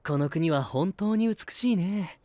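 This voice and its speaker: A man's voice: sits high, lively intonation, a little slow, dark tone, no room sound. A man playing an artistic knight with theatrical, admiring, poetic phrasing in an anime-style audio drama. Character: artistic, flowery, smooth-talking male knight singer.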